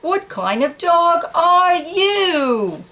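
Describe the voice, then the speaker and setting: A woman reading a children's picture book, voicing a small, feisty, defensive dog with emphatic, drawn-out retorts. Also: very high-pitched, very animated, bright, forceful tone, slight background noise, pitched above the performer's own voice, full character voice. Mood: indignant.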